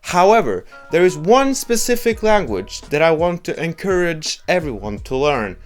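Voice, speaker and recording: A man's voice: on the high side, big pitch swings, moderate pace, faint music behind. A young man with a Norwegian accent, a native Norwegian speaker, giving a blunt, joking opinion monologue as a video host. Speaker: YouTube host.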